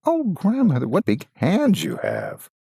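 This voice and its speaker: A trusting, innocent little storybook girl, played by a man reading aloud. The voice is pushed up high and swoops widely with earnest wonder. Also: huge pitch swings, a little slow, creaky, dark tone, slightly rough, no echo, studio quality, heavily acted. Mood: amazed.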